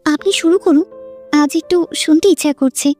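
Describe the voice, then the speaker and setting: A wistful young woman in a romantic audio drama, played by an actress, with a very high voice. She speaks softly and poetically, holding her feelings back, over clear background music. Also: fairly quick, natural voice. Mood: gently playful. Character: wistful young woman, nameless coffee-shop companion in love.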